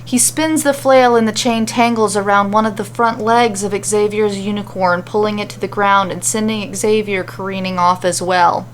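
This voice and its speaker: A woman's voice: medium pitch, steady tone, moderate pace, few pauses, slight background noise. A woman reading a scripted fantasy adventure story as its narrator, telling it dramatically.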